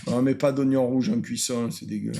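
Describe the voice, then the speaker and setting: A man's voice: mid-range voice, steady tone, a little fast, vocal fry, noisy background. A French man streaming a reaction video in a casual, mocking way, laughing often and repeating lines.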